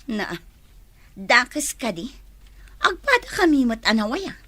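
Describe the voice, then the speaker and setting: A gossipy village woman who frets over what might go wrong, played by a woman. Her bright, animated voice is close and dry. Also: a little high, takes it slowly, no room sound, heavily acted. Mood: fretful. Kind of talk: radio drama acting.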